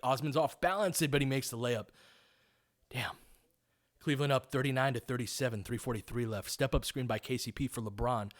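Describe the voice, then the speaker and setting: A man with a medium-pitched voice calls a basketball game live. He talks informally, like a fan of one side, and follows the action play by play. He also explains tactics in coaching detail. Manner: informal, partisan fan asides. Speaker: play-by-play commentator.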